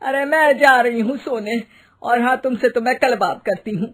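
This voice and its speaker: A woman's voice: fairly high pitch, moderate pace, natural voice. A woman voicing a blunt, scolding elderly Indian mother-in-law in a comic cartoon story, exclaiming with sarcasm and drama. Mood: annoyed.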